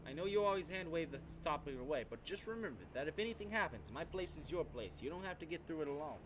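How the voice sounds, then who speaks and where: A man's voice: on the high side, sing-song, quick pace, noisy background, pitched above the performer's own voice. A man voicing a loyal, supportive friend on a phone call in a visual novel, emphatic and urgent. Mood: caring. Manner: emphatic, urgent, raised delivery.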